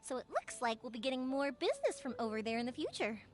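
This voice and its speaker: An actress voicing a cheerful, energetic young fireworks maker in a video game, bubbly and reassuring. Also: female voice, high voice, quick pace. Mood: upbeat. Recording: music behind the voice.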